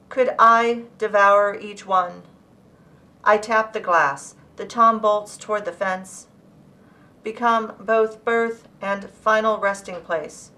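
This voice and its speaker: Woman, poet, bright crisp-edged tone, slow measured poetry reading, deliberate phrasing. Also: medium pitch. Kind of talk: poetry reading of own work.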